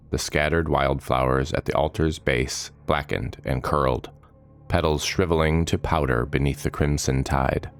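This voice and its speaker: A man reading dramatic fantasy narration in a measured, solemn manner, giving short phrases weight. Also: rumbling low.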